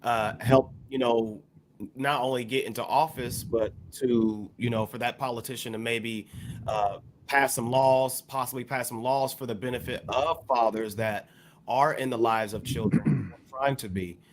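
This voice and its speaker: Measured, halting male podcast co-host, medium pitch. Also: fairly even intonation, a little hiss or hum. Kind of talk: casual discussion.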